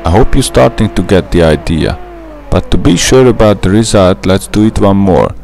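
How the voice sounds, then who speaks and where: A man's voice: low voice, moderate pace, creaky, a little mellow, slight background noise. A young man with a Hungarian accent, a native Hungarian speaker, narrating a gameplay tutorial in a careful, methodical way. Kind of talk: scripted gameplay voice-over.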